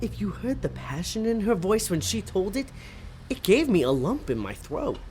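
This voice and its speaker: A man's voice: high-pitched, sing-song, normal speed, a little mellow, a little hiss or hum. A man playing an earnest, sentimental demon sidekick in an audio comedy drama, sincere and slightly pedantic. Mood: sincere, sheepish.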